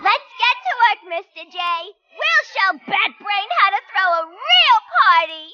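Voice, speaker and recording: A woman voicing a gleeful, smitten female villain sidekick in a game cartoon, with a squeaky, girlish New York accent and a brash, playful manner. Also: sing-song, measured pace, muffled recording, exaggerated voice. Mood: scheming. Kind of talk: animated video game cutscene acting. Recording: loud music behind the voice.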